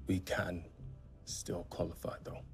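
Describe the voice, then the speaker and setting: An actor playing a weary, pressured racing team boss in a video game, speaking gravely and quietly. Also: male voice, deep voice, completely flat delivery, a little slow. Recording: music behind dialogue.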